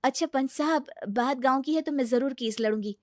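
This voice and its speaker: A woman's voice: high voice, a little fast, no echo, clean studio sound, natural voice. A woman playing a young, confident lawyer daughter-in-law in a comedy story, polite but exasperated and argumentative. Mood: resolute.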